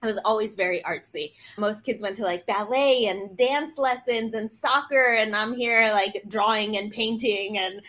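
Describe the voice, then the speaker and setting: A woman's voice: medium pitch, normal speed, hardly any pauses, fairly bright tone. A woman from Poland, a guest on a podcast, telling her story in an upbeat, reflective way. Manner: upbeat and reflective, with light laughs.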